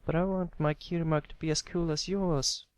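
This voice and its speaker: A man's voice: a little high, steady tone, normal speed, clean tone, no echo, pitched above the performer's own voice, natural voice. An eager young pony colt, acted out by a man reading a story, speaks in a raised, boyish voice. He is earnest and a little hesitant, the admiring kid brother, recorded dry and close.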